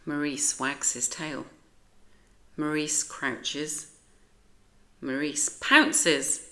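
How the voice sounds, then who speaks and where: A woman with a British accent narrating a children's storybook as an expressive read-aloud. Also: low-pitched, extremely slow.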